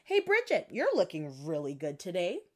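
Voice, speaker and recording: A woman voicing an excitable, easily alarmed mother in a children's storybook, with a dramatic, exclaiming manner. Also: fairly low pitch, moderate pace. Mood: admiring.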